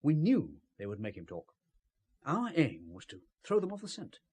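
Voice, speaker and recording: An actor playing a cagey, ruthless British spymaster in an audio drama, with formal, understated speech and a husky voice. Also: male voice, medium pitch, huge pitch swings, normal speed, darkish tone. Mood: smooth, calculating.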